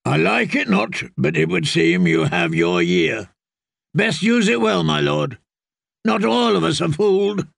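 A man's voice: high voice, sing-song, measured pace, creaky, breathy edge, no room sound, studio quality, pitched above the performer's own voice, heavily acted. A man voicing a blunt, proud warrior lord in a fantasy audiobook, speaking in a stern, terse, formal way. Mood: grudging. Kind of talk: dramatic audiobook character voice.

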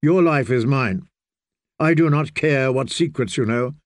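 A man voicing a grim, one-handed knight who speaks in a cold, level, quietly menacing way. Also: medium pitch, measured pace, no echo, clean studio sound, close to an everyday voice.

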